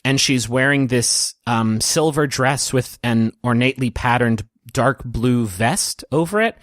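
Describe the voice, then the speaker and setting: A man narrating a tabletop roleplaying story as game master, in a gentle, halting, descriptive way. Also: medium pitch, no echo, studio quality.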